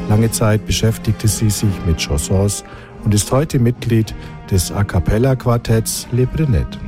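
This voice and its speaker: A man reading a scripted news report in a measured, formal way. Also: on the low side. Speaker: reporter and interviewer. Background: music under the voice-over.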